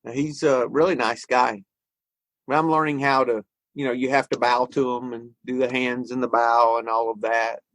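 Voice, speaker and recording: A man's voice: medium pitch, level tone, moderate pace, fairly bright tone, dry recording, poor audio. A man, thinking aloud in a casual podcast conversation about recovery and spirituality. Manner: reflective, thinks aloud with frequent restarts. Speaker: podcast co-host.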